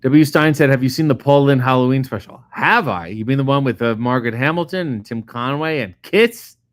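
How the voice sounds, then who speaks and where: A middle-aged man, a comedian host, reading viewer comments aloud and answering them in a casual, profane, deadpan way. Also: mid-range voice, fairly quick, dry recording, clean studio sound. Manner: profane, sardonic, deadpan asides. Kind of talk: casual chat answering live chat questions.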